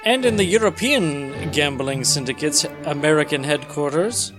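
A man's voice: fairly high pitch, normal speed, over music. A man co-hosting and narrating a comic-book reading, slipping between storytelling and wry casual banter. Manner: wry, joking. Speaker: podcast co-host and narrator.

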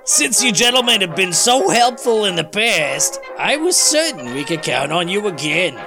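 Man putting on a high, formal comic-book official. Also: sing-song, moderate pace, over music. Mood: formal, earnest. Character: earnest, formal male UN Secretary General in a comic book. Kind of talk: comedic dramatic comic-book reading.

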